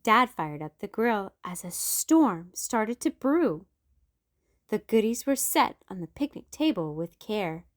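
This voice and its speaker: A woman hosting a storytime, reading a rhyming picture book aloud in an expressive, sing-song way with big leaps in pitch. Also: slow. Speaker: children's book reader.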